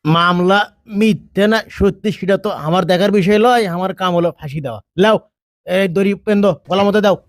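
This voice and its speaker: Man playing a high-pitched bumbling hangman, northern Bangladeshi accent. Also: brisk, no echo, clean studio sound. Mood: bossy. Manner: chattering, exclaiming, repetitive. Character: bumbling, chattering hangman in a comic prison game. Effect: voice changer. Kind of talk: comic dubbed game roleplay in dialect.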